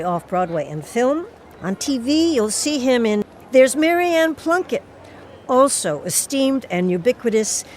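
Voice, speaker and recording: A woman presents in a scripted host style, with a medium-pitched voice. There is faint noise behind her as she reads credits and introductions. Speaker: host introducing an audio play. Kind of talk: scripted narration.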